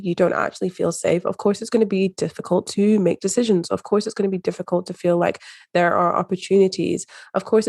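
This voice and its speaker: A woman with a soft, hard-to-place Scottish accent who calmly explains ideas as a coach being interviewed. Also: lowish voice, no room sound, studio quality. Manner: calm, measured, coaching tone. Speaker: mindset coach, podcast guest.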